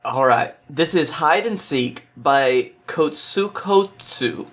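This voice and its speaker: A man's voice: a little high, lively intonation, slow pace, a bit bright. A man appearing as a podcast guest, talking casually and reading aloud.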